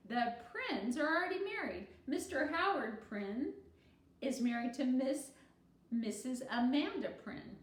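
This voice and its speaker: Self-assured, bossy schoolgirl storyteller played by a woman, theatrical, swooping pitch, storybook reading in an echoey room. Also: lively intonation, measured pace, recorded from a distance. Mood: impatient, emphatic. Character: confident, dramatic little schoolgirl storyteller, bossy and exasperated. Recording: roomy echo, faint background noise.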